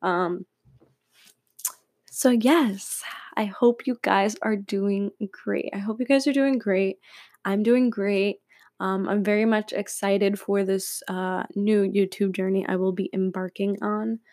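A young woman from California talks through her podcast in a loose, rambling way, full of fillers and quick laughs. Her voice sits at an ordinary middle pitch. The recording is dry and close, with no room echo. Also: fairly even intonation, a little mellow. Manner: chatty, rambling, laughs often, many fillers. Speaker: podcast host. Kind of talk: casual solo podcast chat. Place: room at home with windows open.

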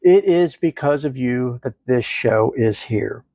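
Congested, gravelly man hosting a faith podcast. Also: mid-range voice, expressive, a little slow, warm tone, dry recording. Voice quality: nasal. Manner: earnest, effusive, jokes with himself. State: congested from the flu. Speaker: podcast host. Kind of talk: faith podcast chat and reading aloud.

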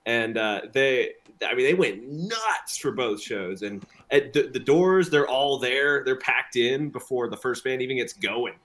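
Keen, informal musician, average-pitched male. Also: expressive, measured pace, hardly any pauses, a bit bright. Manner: enthusiastic, informal. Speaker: rock band member being interviewed. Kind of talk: casual interview chat.

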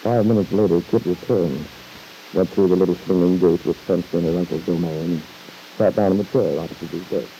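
A man's voice: sits low, fairly even intonation, fairly quick, warm tone, slight background noise. Muffled old-time radio narrator, man.

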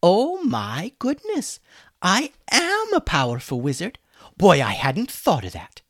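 A man's voice: high voice, wildly swooping pitch, unhurried, dry recording, pitched above the performer's own voice, heavily acted. A man voicing a sarcastic, weary male chicken wizard in a children's story. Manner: sarcastic, dry mocking.

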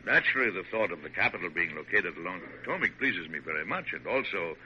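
An actor playing a genial, bumbling yet dignified middle-aged president in old-time radio drama, animated and given to repeating phrases for emphasis. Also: male voice, low-pitched, a little fast. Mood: pleased, encouraging. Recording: dull, noisy vintage radio recording.

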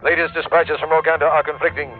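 A man's voice: fairly high pitch, little pitch movement, fast, faint music behind, lightly acted. A man playing an urgent radio newscaster in a 1960s radio drama, announcing in a formal, emphatic, clipped way. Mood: grave, urgent. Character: urgent male radio newscaster relaying war bulletins. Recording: tinny, narrow old radio sound.

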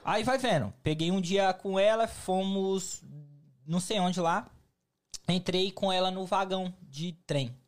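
A man's voice: sits high, unhurried. A Brazilian man in a casual podcast chat, telling stories with plenty of slang. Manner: slangy storytelling, relaxed.

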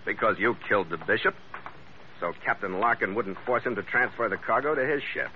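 A man's voice: fairly low pitch, a little fast, highs cut off, close to an everyday voice. Man acting hard-boiled skipper on noisy old radio. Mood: tough, regretful. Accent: American. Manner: clipped, tough, wry delivery. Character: hard-boiled, wry seafaring ship's captain. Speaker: lead actor and narrator. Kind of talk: 1940s radio adventure acting.

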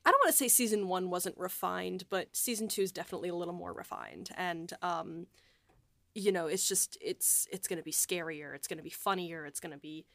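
Enthusiastic woman podcaster, slightly low voice. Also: brisk, gravelly, fairly bright tone, no echo. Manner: enthusiastic, gushing. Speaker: podcast host and audio drama writer. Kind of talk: casual podcast chat.

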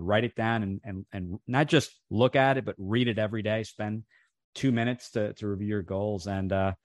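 A man's voice: lowish voice, dry recording, clean studio sound. A man whose first language was Italian, a franchise coach telling his background in a casual interview, with many fillers and restarts. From New Jersey, USA. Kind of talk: casual interview over video call.

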